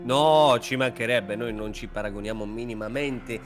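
A man's voice: mid-range voice, normal speed. A man co-hosting a comedy podcast in exclamatory, pleading banter with mock exasperation. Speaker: podcast co-host. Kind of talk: comic banter in a radio sketch. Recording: clear music bed behind the voice.

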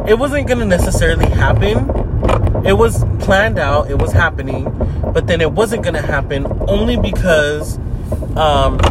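Chatty young man talking while driving. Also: on the high side. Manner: loose, swearing, rambling with many fillers. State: slightly sick, deeper voice. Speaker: podcast host. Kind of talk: casual talking-to-camera vlog. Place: inside a car while driving. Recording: clear car noise behind. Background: car road noise.

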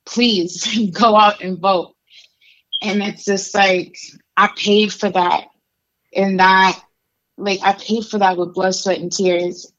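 A woman's voice: mid-range voice, flat delivery, takes it slowly, fairly bright tone, muffled recording, slight background noise. A young adult woman from Mexico, a guest telling her life story in a casual, candid interview full of fillers. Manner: candid, conversational, many fillers.

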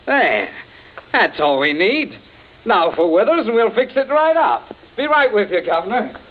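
A young son who is cheerfully callous and eager to oblige. His rather high voice moves about freely and casually. The sound is a narrow, tinny old radio play with slight noise. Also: big pitch swings, unhurried. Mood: breezy, callous. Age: young adult. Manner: casual, politely chirpy. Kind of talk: old-time radio drama acting.

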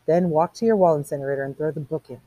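A woman voicing an anxious old man from a novel, giving urgent, hushed, pleading advice. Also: sits low, rapid-fire, no room sound, rough recording. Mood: hushed warning.

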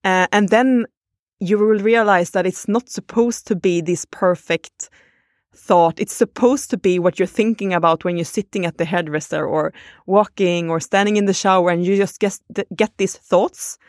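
A woman's voice: mid-range voice, normal speed, no echo, studio quality. A woman, chatting casually on a podcast and thinking aloud with fillers.

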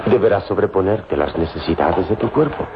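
A man playing a calm, wise hero, speaking in a measured, commanding way in an old radio serial. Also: low voice. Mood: solemn, encouraging. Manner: solemn, measured, commanding, philosophical. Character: calm, wise, unshakable adult male hero. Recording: dull, noisy old radio recording.

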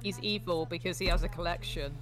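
A woman's voice: on the low side, a little fast. A woman co-hosting a casual movie reaction show, with a wry, teasing way of talking. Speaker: co-host. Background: film music playing.

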